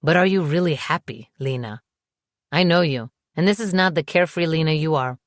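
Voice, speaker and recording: A woman voicing a calm, confident, patronizing man in an audiobook, smooth and condescending. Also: low-pitched, fairly quick, dry recording, clean studio sound, natural voice. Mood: patronizing concern.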